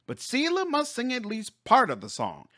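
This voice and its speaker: A man's voice: extremely high pitch, huge pitch swings, moderate pace, pushed and bright, pitched above the performer's own voice, exaggerated voice. A man voicing a cocky, exuberant male heroic commander in a fantasy game. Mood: boastful, excited.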